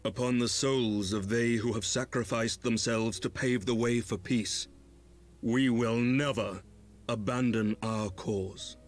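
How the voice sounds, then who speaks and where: An actor playing a noble, earnest leader in a fantasy video game, speaking formally and eloquently. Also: male voice, mid-range voice, fairly even intonation, takes it slowly, soft background music, lightly acted. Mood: resolute.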